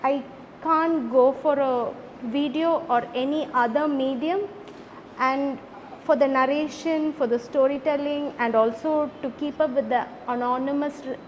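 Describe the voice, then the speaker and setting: A woman with an Indian accent talks in a high voice, explaining her project without a script. Long reverb from a hall trails her words, over faint background noise. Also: steady tone, measured pace. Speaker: researcher presenting a residency project. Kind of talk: unscripted panel presentation. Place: large indoor event space.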